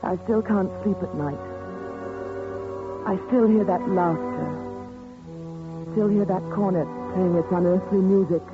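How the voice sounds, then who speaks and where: A woman's voice: on the low side, measured pace, pauses often, close to an everyday voice. A woman playing a haunted letter writer, old radio. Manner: haunted, intense, dramatic delivery. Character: shaken woman recalling a horror in a letter. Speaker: lead actress in a radio drama. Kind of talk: 1940s radio acting. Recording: tinny 1940s radio broadcast with music.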